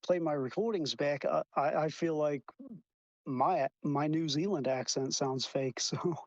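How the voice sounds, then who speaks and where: Man, higher voice, stammering and defensive, dry muffled voice chat mic. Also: a little slow, dry recording. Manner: stammering, defensive, many restarts. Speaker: debate participant defending himself. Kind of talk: online voice chat argument.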